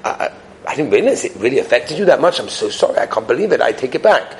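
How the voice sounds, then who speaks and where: A man's voice: high-pitched, huge pitch swings, a little fast, a little hiss or hum, heavily acted. A man voicing a wife in a told parable, exclaiming and questioning her husband. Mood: contrite, eager. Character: critical wife turned contrite and helpful.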